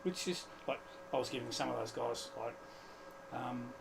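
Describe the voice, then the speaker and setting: A man with an Australian accent chatting casually as a guest, explaining his hobby in a halting, colloquial way. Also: medium pitch, gravelly, slight background noise, rough recording. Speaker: guest, amateur radio operator. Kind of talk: casual chat. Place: club radio studio.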